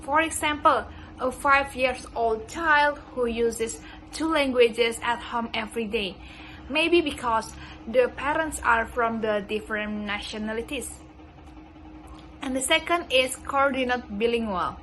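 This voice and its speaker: A young woman from Indonesia, a native Indonesian speaker with an Indonesian accent, giving a class presentation by slowly and carefully reading a prepared script. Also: a little high, fairly bright tone, slight background noise, poor audio. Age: young adult. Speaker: student presenter.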